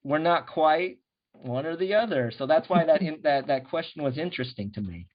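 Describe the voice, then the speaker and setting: A man's voice: a little high, lively intonation, normal speed. A middle-aged American man talking candidly as a guest in a casual interview, often restarting his sentences. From USA. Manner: earnest, candid, frequent restarts. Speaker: guest, animation director. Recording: dull lo-fi recording.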